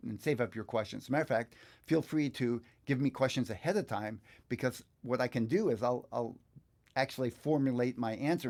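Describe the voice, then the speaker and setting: A man's voice: mid-range voice, vocal fry, darkish tone. An older man giving a casual live online tutorial as a teacher, thinking aloud informally in an indoor studio. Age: older, 50s to 60s. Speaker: guitar teacher hosting a live online session.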